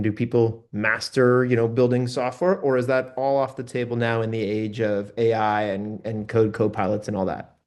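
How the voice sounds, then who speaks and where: A man hosting a podcast, chatting with a guest in an upbeat, friendly interviewer's way. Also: mid-range voice. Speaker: podcast host.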